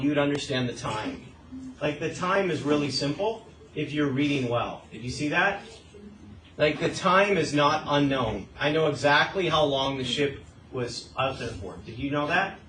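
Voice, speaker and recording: A man's voice: on the high side, lively intonation, measured pace, fairly bright tone, slight background noise, rough recording. A man teaching a class as a math teacher, explaining step by step and often asking questions to check understanding. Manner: asks frequent checking questions.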